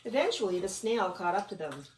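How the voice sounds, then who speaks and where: A woman narrates a picture book with lively, swooping intonation, slipping into casual questions for a child. She sounds a bit away from the mic in an echoey room with faint noise. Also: medium pitch, a little fast, recorded from a distance. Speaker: parent reading to child. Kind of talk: expressive storybook narration with casual chat. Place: at home.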